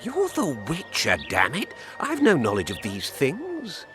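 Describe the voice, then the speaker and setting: An actor playing a grumpy, impatient merchant in a fantasy video game, haggling and dismissive, with theatrical irritation. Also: male voice, on the high side, wildly swooping pitch, measured pace, music in the background. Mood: irritated, dismissive.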